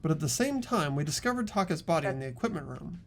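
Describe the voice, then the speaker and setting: A man's voice: a little high, very animated, fast talker, faint music behind. A man playing an earnest teenage boy investigator in dramatic video game dialogue. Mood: earnest, recounting. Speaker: voice actor in a fan playthrough.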